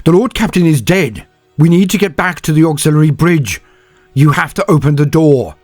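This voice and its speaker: A man voicing a loud, resolute woman officer. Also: fairly high pitch, animated, normal speed, breathy edge, no echo, faint music behind, pitched above the performer's own voice. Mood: urgent. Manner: urgent, shouting over battle. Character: determined female ship's officer, resolute.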